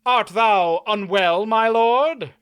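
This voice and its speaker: A man voicing a confident, courtly knight who speaks in formal archaic phrasing, loud and ringing. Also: sits high, slow, clear, resonant tone, no room sound, clean studio sound, voice pushed up. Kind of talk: audiobook character voice.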